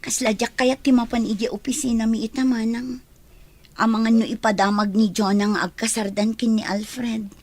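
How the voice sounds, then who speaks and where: A heartbroken young woman in a village radio drama, played by a woman. Her voice is high, and her speech is tearful and plaintive. She has an Ilocano accent. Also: level tone, normal speed, a little mellow, natural voice. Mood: dejected.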